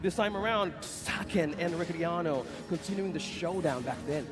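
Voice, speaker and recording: A man's voice: on the high side, normal speed, over music. A man commentating an esports broadcast, breaking down items and builds in detail. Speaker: esports caster. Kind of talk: analytical play-by-play commentary.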